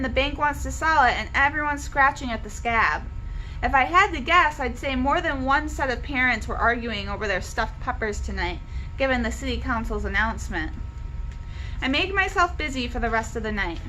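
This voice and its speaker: Bright-voiced woman reading a novel aloud. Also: high-pitched, a little hiss or hum, rough recording. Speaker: read-along reader. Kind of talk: expressive first-person novel narration.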